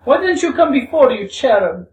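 A woman's voice: high voice, sing-song, moderate pace, darkish tone, pitched above the performer's own voice. A woman playing a volatile, wounded young Russian woman in a storybook reading, with theatrical exclamations. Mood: anguished, pleading. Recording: roomy, distant microphone.

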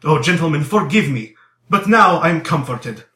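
An impassioned young man under arrest, acted out by a male narrator, pleads and exclaims in a bright, forceful voice. His pitch leaps around with frantic effusiveness, repeating words as he goes, in a roomy, echoing recording of a storybook. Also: lively intonation, normal speed, distant mic. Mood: ecstatic, pleading. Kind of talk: dramatized audiobook character dialogue. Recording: roomy echo.

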